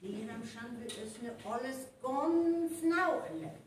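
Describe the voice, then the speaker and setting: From a distant mic in a very echoey hall comes a woman playing an inquisitive village woman in a live radio play. She speaks slowly and deliberately, with a North Frisian accent, working through her suspicions. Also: a little high, expressive, slight background noise. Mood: insistent. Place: live stage performance venue.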